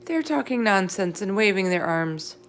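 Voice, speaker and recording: A woman's voice: medium pitch, very animated, normal speed, slight background noise, voice pushed up. A woman voicing a stern, insistent female gym teacher in a children's storybook. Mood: complaining.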